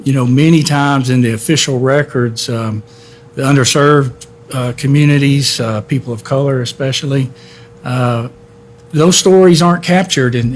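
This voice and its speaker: Measured middle-aged man with fillers. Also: mid-range voice. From born in Hawaii, raised in Texas. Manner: measured, frequent filler words. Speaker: guest, history center director. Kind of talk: conversational interview.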